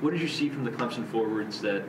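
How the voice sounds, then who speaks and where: A man's voice: medium pitch, expressive, quick pace. A man reporter asking long, hedging questions at a press conference, heard from a distance. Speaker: sports reporter. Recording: distant, echoey, noisy room mic.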